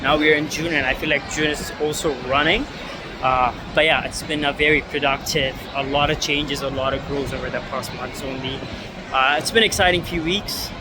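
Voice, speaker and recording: A man's voice: a little high, fairly even intonation, normal speed. A young man from Ethiopia, a startup founder, talking casually to camera in a rambling way full of fillers and restarts. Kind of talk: casual vlog talk to camera. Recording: city street noise behind.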